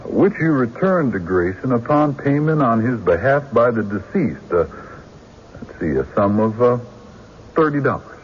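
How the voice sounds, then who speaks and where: An actor playing a shrewd, courteous country lawyer cross-examining a witness in a radio drama, deliberate and probing. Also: male voice, medium pitch, very animated, lightly acted. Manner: deliberate, probing, folksy hesitations. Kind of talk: old-time radio drama acting. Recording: dull radio recording, faint noise.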